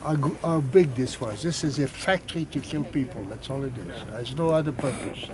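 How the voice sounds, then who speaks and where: A man's voice: mid-range voice, a little fast. A man, giving blunt, emphatic testimony outdoors. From France. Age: 80s. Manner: blunt, emphatic short statements. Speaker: survivor interviewee. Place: outdoors at a memorial site. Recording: outdoor noise in background.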